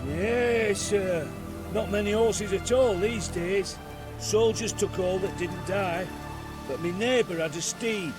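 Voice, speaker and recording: An actor playing a humble, superstitious peasant in a video game, with a rural British accent and folksy, plaintive manner. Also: male voice, high voice, slow, music in the background. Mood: pleading. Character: humble, superstitious peasant man who keeps horses. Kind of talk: video game cutscene acting.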